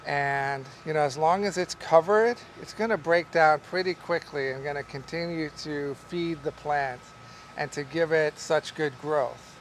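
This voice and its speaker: A middle-aged man, a market farmer, explaining how he grows tomatoes in a relaxed, rambling way with many fillers, recorded in a large greenhouse by a camera some distance away. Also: on the high side, a little slow, slight background noise. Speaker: market farmer teaching growing. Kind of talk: casual on-camera farming lesson. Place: large greenhouse among tall tomato plants. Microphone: camera at a distance, wide shot.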